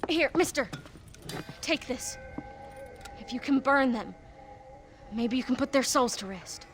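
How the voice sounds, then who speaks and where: A woman's voice: high-pitched, expressive, measured pace, soft background music. A woman playing a blunt, morbid young frontier girl with a drawl in a game cutscene. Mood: matter-of-fact. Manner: drawling, matter-of-fact about horrors. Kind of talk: video game cutscene acting.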